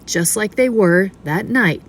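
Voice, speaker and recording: A woman's voice: mid-range voice, animated, a little slow, a little hiss or hum. A woman reporter reading scripted narration for a news feature.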